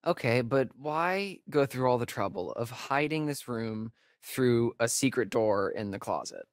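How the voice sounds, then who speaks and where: A man's voice: mid-range voice, lively intonation, dry recording, close to an everyday voice. Man playing a wisecracking young American guy, dry recording. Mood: casual, puzzled. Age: young adult. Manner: casual, sarcastic, halting asides. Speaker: video game voice actor. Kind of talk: naturalistic video game dialogue.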